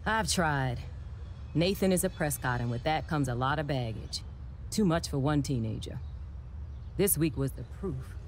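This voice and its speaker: An actress playing a sensible, skeptical but caring science teacher in a video game, speaking in a measured, matter-of-fact way. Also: female voice, low voice, animated, a little hiss or hum. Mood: measured, regretful. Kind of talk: video game dialogue acting.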